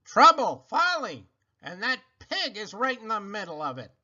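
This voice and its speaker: A man's voice: sits high, wildly swooping pitch, normal speed, sharp tone, dull audio, pitched above the performer's own voice, exaggerated voice. A man voicing a fussy, sour, disdainful older woman in a stern storybook reading. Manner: stern, scolding, indignant.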